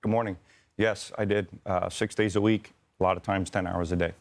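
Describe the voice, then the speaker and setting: A man working as an air traffic controller answers news interview questions in a plain, matter-of-fact, halting way. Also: low voice, fairly even intonation.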